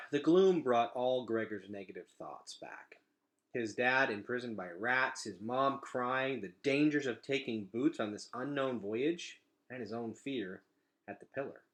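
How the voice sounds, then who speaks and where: A man reading a children's storybook aloud as an expressive narrator. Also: mid-range voice, unhurried. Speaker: read-aloud reader.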